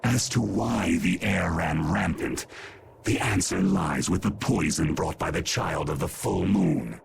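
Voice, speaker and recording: An actor playing an ancient, imperious monster guardian in a fantasy game, speaking with slow, solemn grandeur and stern menace. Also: male voice, noisy background, full character voice. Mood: grave, stern. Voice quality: deep gravelly. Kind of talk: dubbed fantasy game voice acting.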